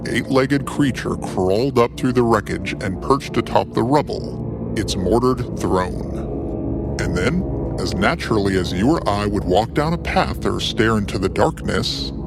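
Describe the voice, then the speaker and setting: A man narrating a scripted story theatrically, with ornate phrasing and dramatic, drawn-out pauses. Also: lowish voice. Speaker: storyteller and host. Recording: clear music bed behind narration. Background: music.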